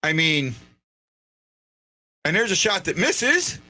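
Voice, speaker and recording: A man hosting a live fan show, giving rambling, excitable and sarcastic commentary while watching a game. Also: high-pitched, takes it slowly.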